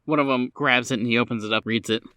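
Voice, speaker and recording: A man's voice: medium pitch, fairly even intonation, quick pace, bright, forceful tone, slight background noise. A man narrating a tabletop game as game master in an informal, improvised, conversational way.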